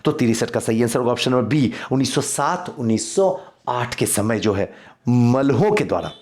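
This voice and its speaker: A man's voice: medium pitch, lively intonation, normal speed, a little mellow. A man teaching an exam quiz lesson, reading out questions and repeating key answers for emphasis. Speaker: history teacher for civil service exam coaching.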